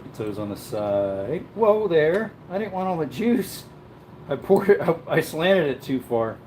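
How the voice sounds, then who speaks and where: A man's voice: on the high side, very animated, a little slow, noisy background. A man casually chatting to viewers while cooking at home, rambling in an easygoing way. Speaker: cooking livestream host. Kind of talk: casual live-stream chat while cooking.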